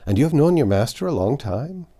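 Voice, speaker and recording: Man reading as a storybook detective, shrewd, inquisitive, suspicious, measured, lively intonation. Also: medium pitch, voice pushed up. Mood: probing. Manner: inquisitive, measured, politely prodding. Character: shrewd, suspicious male detective, probing slyly.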